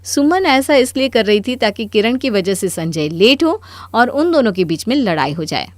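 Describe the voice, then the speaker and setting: A woman's voice: fairly high pitch, sing-song, normal speed, dry recording. A woman narrator reading a scripted Hindi cartoon moral story.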